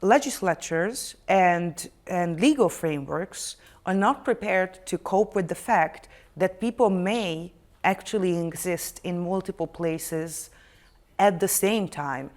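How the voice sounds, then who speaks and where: A woman's voice: lowish voice, slow pace, a little mellow. A woman hosting a studio panel talk show, asking probing questions with a wry edge.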